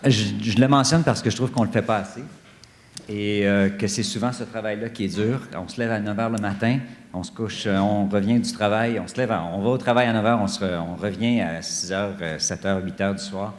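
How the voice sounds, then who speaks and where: A middle-aged man giving earnest, emphatic panel remarks, often pausing and hesitating. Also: lowish voice, fairly even intonation. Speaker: panelist at a study day; director general of an association. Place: university conference room. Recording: echoey conference hall with long reverb.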